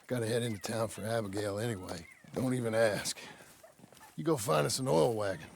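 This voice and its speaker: Scheming American gang robber, western game; rough creaky voice, plain-spoken, faint noise behind. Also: male voice, lowish voice, brisk. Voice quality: gravelly. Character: gravelly, scheming, matter-of-fact cowboy outlaw. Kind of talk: video game cutscene acting.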